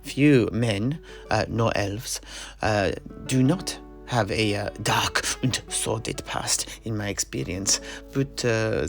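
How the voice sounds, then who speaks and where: A man playing a worldly, good-humoured mercenary knight, telling his tale haltingly in a fantasy audio play. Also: fairly low pitch, creaky, heavily acted.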